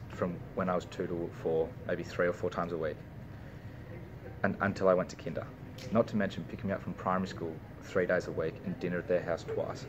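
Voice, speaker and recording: A young man with an Australian accent reads through a personal speech he has written. His voice sits low, and he stumbles now and then before restarting a phrase. A steady noise runs under the recording. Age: young adult. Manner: reads a prepared speech with small slips and restarts. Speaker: university student.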